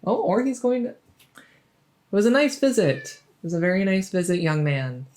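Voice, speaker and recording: A woman playing a friendly, chatty dungeon master in a soft-spoken ASMR roleplay, musing and hesitant as she talks intimately to the listener. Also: mid-range voice, big pitch swings, normal speed, lightly acted. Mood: playful, doting. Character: friendly female dungeon master, chatty, teasing, doting cat owner.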